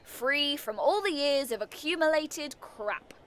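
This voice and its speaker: A sardonic British woman neighbour in an audio comedy play. Her voice sits high and cuts brightly. She is brusque and defiant, heavy on sarcasm. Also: lively intonation, measured pace, slight background noise.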